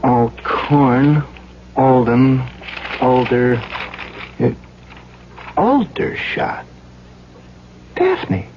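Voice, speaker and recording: A man's voice: on the high side, full character voice. An actor playing a troubled, earnest man who stammers and repeats himself in a 1970s radio drama. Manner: halting, stammering, repeating words. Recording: dull, faintly hissy 1970s radio recording.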